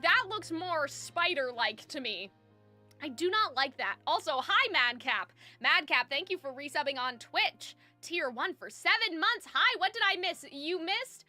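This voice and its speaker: A woman hosts a casual livestream with a high, bright voice. She rambles in a chatty, slangy, giggly way to her viewers. She sits close to the mic in a treated room, with music playing behind her. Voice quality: high-pitched when excited. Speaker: live streamer, host. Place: soundproofed room at home. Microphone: close microphone. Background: faint music.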